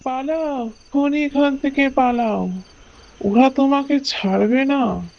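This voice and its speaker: A woman voicing a mournful, haunting ghost in a horror cartoon, with drawn-out, pleading delivery. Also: high-pitched, creaky, full character voice. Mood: urgent warning. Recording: muffled, noisy, eerie ambience.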